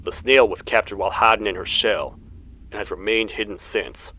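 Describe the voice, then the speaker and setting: A man voicing a stern, weary cartoon toad sheriff in a video game, with a grave, formal, deliberate delivery. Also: lowish voice, pushed and bright, slightly rough, poor audio. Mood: solemn, matter-of-fact. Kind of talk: video game character acting, log narration.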